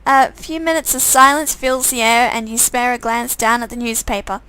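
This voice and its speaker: A woman's voice: fairly high pitch, moderate pace, pushed and bright, smooth, no echo, low-quality recording. A woman casually reading a visual novel aloud as a live streamer, dropping murmured asides between the lines.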